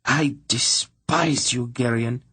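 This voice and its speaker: Man reading a spoiled, proud young princess for an audiobook, pitched way up, husky and breathy. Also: moderate pace. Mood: haughty, icy. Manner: lofty, then wailing and sobbing.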